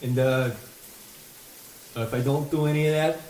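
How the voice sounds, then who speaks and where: A man's voice: mid-range voice, steady tone, moderate pace, a little mellow, a little hiss or hum, close to an everyday voice. A man playing a meek, hapless baseball player in a comedy radio play. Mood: meek, nervous. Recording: echoey, distant from microphone.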